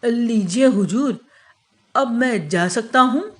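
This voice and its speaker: A man plays a crafty but fearful servant thief in a children's moral cartoon. He talks slowly, with a scheming edge. His deference to his betters rings false. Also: very high voice, animated, exaggerated voice. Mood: nervous, pleading.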